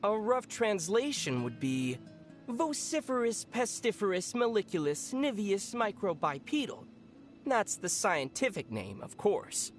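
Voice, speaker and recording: A woman voicing a teasing, deadpan young traveler in a video game, with a dry manner. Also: mid-range voice, a little slow, soft background music. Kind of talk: video game character dialogue.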